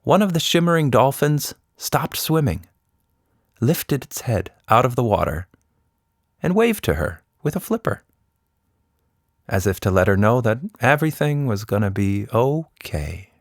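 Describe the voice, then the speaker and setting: A man narrating a scripted children's story in an expressive, dramatic way. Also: medium pitch, measured pace, no echo, clean studio sound.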